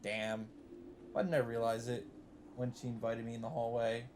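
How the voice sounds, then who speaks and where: A man hosting a let's play, reading visual novel text aloud in an even voice and breaking in with blunt, swearing asides. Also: mid-range voice, moderate pace, slight background noise. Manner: reads narration evenly, breaks in with swearing asides.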